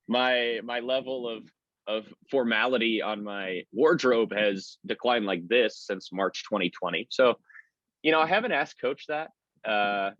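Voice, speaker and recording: A man with a fairly low voice talks sports in a conversational, analytical way, like a play-by-play announcer being interviewed. The sound is dry and a bit dull, with a lo-fi edge. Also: a bit bright, no room sound. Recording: slightly dull lo-fi audio.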